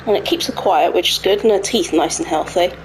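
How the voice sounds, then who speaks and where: Woman, gaming host, croaky, casual running commentary, noisy home mic. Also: fairly low pitch, a little fast. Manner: chatty, talks to herself while playing. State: slightly croaky voice. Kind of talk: casual let's-play commentary. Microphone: home desk microphone.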